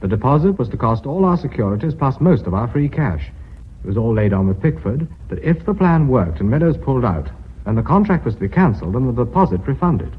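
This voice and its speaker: A brisk British company boss in a radio play. His voice is husky and swings widely in pitch, earnest and formal, on a muffled old recording. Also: sing-song. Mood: businesslike. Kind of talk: British radio drama acting.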